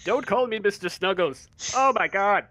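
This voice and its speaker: Man voicing an exasperated teddy bear, pitched up. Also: normal speed, pushed and bright. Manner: dramatic, indignant. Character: exasperated living teddy bear, urgent and indignant.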